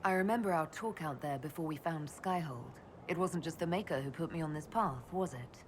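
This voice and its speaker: Low-toned, composed heroine played by an actress. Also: female voice, a little fast, a little hiss or hum, natural voice. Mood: composed, curious. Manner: earnest, level-headed, questioning. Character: composed, inquisitive young female leader of an order. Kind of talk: video game dialogue.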